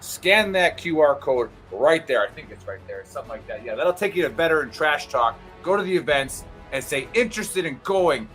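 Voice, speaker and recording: Male promo voice, upbeat and salesy, slightly high and bright, faint music underneath. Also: lively intonation, normal speed, few pauses. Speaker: show promoter. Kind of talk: promotional announcement.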